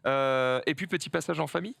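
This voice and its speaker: A man's voice: fairly high pitch, brisk, vocal fry, fairly bright tone, dry recording, studio quality. A man hosting a casual group talk show, bantering with his co-hosts. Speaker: host.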